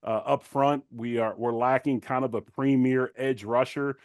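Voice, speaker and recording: A man's voice: medium pitch, steady tone, moderate pace, darkish tone, clear, resonant tone, no echo, studio quality. A middle-aged man, a former player turned commentator, giving conversational sports analysis in an informal, plain-spoken way.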